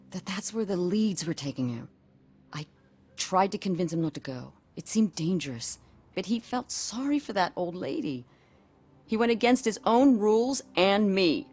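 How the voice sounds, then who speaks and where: An actress playing a worried, bitter wife in a video game, talking in a blunt, plain-spoken way. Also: female voice, mid-range voice, darkish tone.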